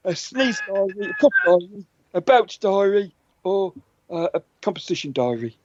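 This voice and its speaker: A man's voice: high voice, big pitch swings, normal speed, a little mellow, lo-fi sound. A man co-hosting a comedy podcast, reading out quiz questions playfully and with stumbles. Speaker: podcast co-host and quizmaster.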